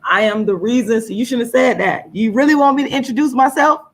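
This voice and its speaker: Casting: female activist guest, hoarse but fairly high voice, emphatic delivery that hammers repeated phrases. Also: fast talker. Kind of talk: impassioned monologue in an interview.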